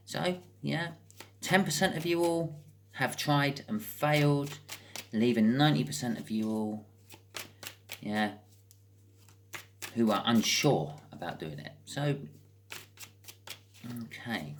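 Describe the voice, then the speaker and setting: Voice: mid-range voice, expressive, takes it slowly, long pauses, a little hiss or hum. A British speaker giving a casual, improvised tarot card reading as host, chatting and thinking aloud with wry asides.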